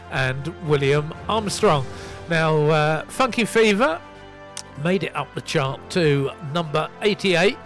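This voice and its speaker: A man's voice: fairly high pitch, a bit bright. A man hosting a casual soul music radio show, chatty and self-mocking as he reads out record details. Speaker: radio host and DJ.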